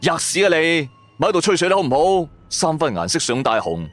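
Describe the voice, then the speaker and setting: A man's voice: a little high, very animated, fairly quick, fairly bright tone, faint music behind, pitched above the performer's own voice. A man playing a bold, blunt man in his twenties in a Cantonese audio drama, bantering colloquially. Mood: scolding. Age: 20s.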